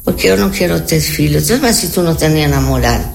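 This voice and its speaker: Elderly Argentine-accented woman with creaky voice. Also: low-pitched, quick pace, noisy background. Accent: Argentine Spanish. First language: Spanish. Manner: repeats words and often seeks agreement. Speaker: interview guest. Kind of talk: casual reminiscing interview.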